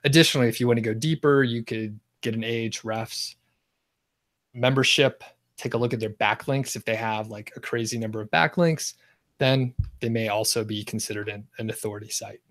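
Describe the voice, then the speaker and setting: A man's voice: medium pitch, moderate pace, a bit bright, no room sound. A man appearing as a guest expert on a livestream, explaining things casually with many "like" and "kind of" fillers.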